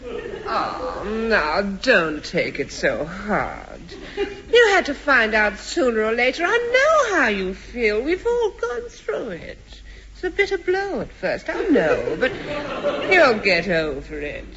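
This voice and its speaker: A woman's voice: fairly high pitch, sing-song, slow, fairly bright tone, full character voice. An actress playing a fussy, motherly woman given to lofty sentiment in a 1950s radio sitcom, earnest and theatrical. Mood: lofty consoling. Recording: dull, noisy 1950s radio recording.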